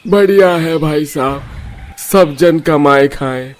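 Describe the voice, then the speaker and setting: A man playing a friendly, practical family man in an animated story. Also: on the high side, slow pace. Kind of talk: Hindi animated story dialogue.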